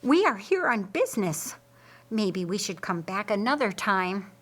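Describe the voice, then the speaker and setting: A woman narrator voicing an excitable, easily flustered woman in a Bible story, with dramatic exclamations. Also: medium pitch, a little slow.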